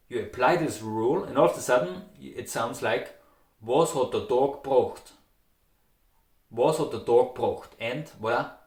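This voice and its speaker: A man's voice: mid-range voice, roomy sound. A man from Austria with an Austrian German accent, teaching pronunciation in a patient, demonstrative way and often checking in with "okay?". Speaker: dialect teacher. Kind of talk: pronunciation lesson.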